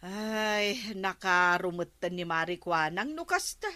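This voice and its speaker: An actress playing a sympathetic, teasing village woman in an Ilocano radio comedy, expressive and drawling, with an Ilocano accent. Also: on the low side, measured pace, no room sound, full character voice.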